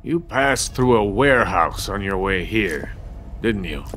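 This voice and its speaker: Deep-voiced, bitter old Soviet scientist. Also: male voice, lively intonation, unhurried, fairly bright tone, a little hiss or hum. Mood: earnest. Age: older. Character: bitter, drunken, proud older male Soviet weapons scientist. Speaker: game character actor. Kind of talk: dramatic video game cutscene acting. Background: music.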